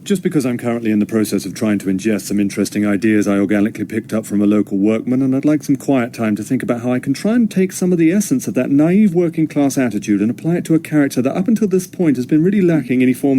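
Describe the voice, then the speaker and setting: Mellow, self-absorbed film star played by a man. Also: medium pitch, fast, hardly any pauses, slight background noise, lightly acted. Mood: aloof, dismissive. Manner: aloof, earnest, pretentious. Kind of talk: sitcom acting.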